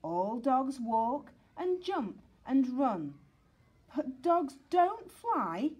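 A woman voicing a stern, disbelieving female dog schoolteacher in a storybook, scolding with drawn-out emphasis. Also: high voice, sing-song, darkish tone, breathy edge, voice pushed up, exaggerated voice.

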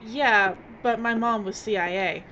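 An actress playing a bossy, impatient, sharp-tongued filmmaker in an audio drama. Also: female voice, mid-range voice, unhurried. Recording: dull, noisy audio drama recording.

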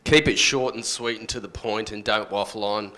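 A man's voice: lowish voice, moderate pace, pushed and bright. A middle-aged man talking casually as a panellist in a live room. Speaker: panellist working with artists. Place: indoor music venue, panel stage. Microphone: handheld microphone. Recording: faint noise, live room ambience.

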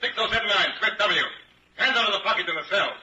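An actor playing an authoritarian prison guard in a 1940s radio drama, barking out orders. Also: male voice, high-pitched, quick pace, dull audio, close to an everyday voice. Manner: barked commands. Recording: echoing, distant, noisy old radio recording.

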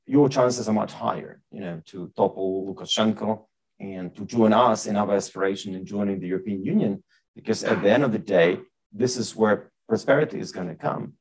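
A middle-aged man whose first language is Ukrainian, answering audience questions in an animated way, restarting often, in a lecture room heard from a distant camera. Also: lowish voice, brisk, breathy edge. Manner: animated, repeats himself, many restarts. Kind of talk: Q&A answer at a public address. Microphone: far camera, standing at lectern.

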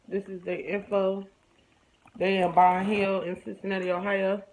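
Casting: American woman, everyday vlogger, folksy informal chat, medium pitch, clearly noisy handheld recording. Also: little pitch movement, measured pace, a little mellow. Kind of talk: casual chat to camera, showing purchases. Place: room at home, indoors. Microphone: phone or camera at arm's length.

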